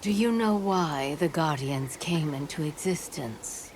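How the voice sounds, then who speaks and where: An actress playing a serene, knowing old sage in a game cutscene, with calm, cryptic, formal speech. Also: female voice, sits low, unhurried, a little hiss or hum. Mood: solemn. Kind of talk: dramatic video game cutscene acting.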